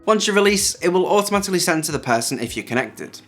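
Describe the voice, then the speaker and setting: A man's voice: high-pitched, lively intonation, fast talker, fairly bright tone. A man hosting a tech video, narrating a scripted hands-on review. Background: background music.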